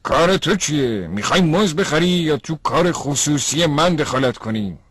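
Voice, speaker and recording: A man plays a swaggering cartoon market trader who threatens anyone who questions his scales. His voice sits fairly high and is recorded close and dry. He sounds dismissive and menacing. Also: expressive, a bit bright, breathy edge, no echo. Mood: threatening. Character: arrogant bullying male banana seller. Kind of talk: dubbed cartoon acting.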